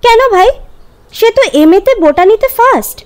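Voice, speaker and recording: A pert young sister in an audio drama. Her voice is high and swings widely in pitch as she challenges people emphatically and speaks her mind. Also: lively intonation, normal speed. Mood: pert, questioning. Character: outspoken, indignant young sister of a gentleman. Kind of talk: literary audio drama acting.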